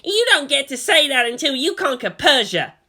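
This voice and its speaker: A man's voice: extremely high pitch, big pitch swings, normal speed, sharp tone, voice pushed up, full character voice. A man voicing an indignant, confrontational geeky teenage schoolboy in a story reading. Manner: confrontational, exclaiming.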